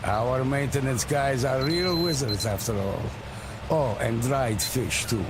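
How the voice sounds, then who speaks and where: A man playing a weary, principled submarine captain in a video game, talking in a measured, firm, explanatory way. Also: mid-range voice, background noise, lightly acted. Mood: relaxed, explanatory. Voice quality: gravelly, creaky. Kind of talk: video game cutscene dialogue.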